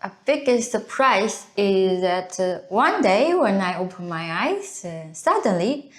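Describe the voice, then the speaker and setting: A native Chinese speaker, this woman co-hosts a casual chat in Chinese-accented English, haltingly searching for her words. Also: mid-range voice, sing-song. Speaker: co-host, video creator.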